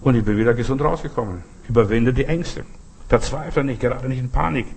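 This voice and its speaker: A man's voice: medium pitch, fast, a little mellow, a little hiss or hum. A man preaching freely in a folksy, exhorting manner, speaking straight to the listener in short, repeated appeals. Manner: exhorting, repetitive, folksy, often addressing the listener directly. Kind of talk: sermon, spoken freely with short imperatives and anecdotes.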